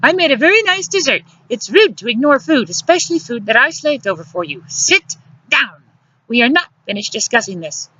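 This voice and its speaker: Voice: mid-range voice, sing-song, normal speed, fairly bright tone, muffled recording, pitched above the performer's own voice. A narrator voicing an exasperated, bossy woman who lectures sharply in formal wording, in an audiobook story. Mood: indignant. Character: exasperated, scolding adult woman, fierce and bossy lover.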